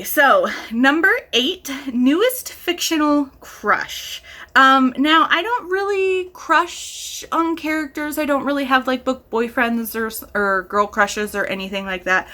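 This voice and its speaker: A woman talking casually and enthusiastically to her viewers as a book vlogger, with an animated, gushing manner. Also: fairly high pitch. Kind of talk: casual chatty book review to camera.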